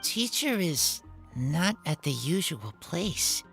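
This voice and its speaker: A serious young wild boy speaks slowly in a lowish voice for a woman. His phrases are terse and halting, in game cutscene style with faint music. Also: sing-song, creaky, fairly bright tone, full character voice. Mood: blunt, curious. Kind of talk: video game cutscene acting.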